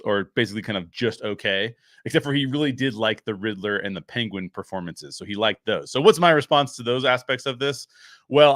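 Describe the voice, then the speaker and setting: A man's voice: lowish voice, fairly bright tone, no echo, studio quality. A man hosting a show solo, giving casual commentary in an emphatic way, repeating phrases for stress. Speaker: show host and story writer.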